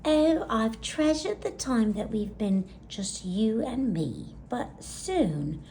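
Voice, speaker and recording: A woman voicing a tender mother yak in a children's storybook, gentle, affectionate and reassuring. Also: a little high, sing-song, takes it slowly, slight background noise, full character voice, low-quality recording.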